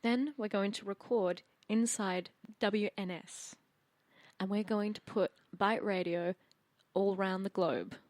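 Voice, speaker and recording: A woman playing a cool, determined female rocker in a tabletop game, speaking in a measured, businesslike way. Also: mid-range voice, steady tone, a little mellow, no echo, close to an everyday voice. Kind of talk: improvised role-play in a tabletop game.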